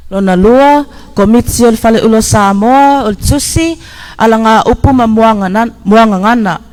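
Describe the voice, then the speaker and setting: Very high-voiced man reciting formally. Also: a little fast, clean tone. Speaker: youth group presenter. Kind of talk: formal recitation in a church presentation. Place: church hall.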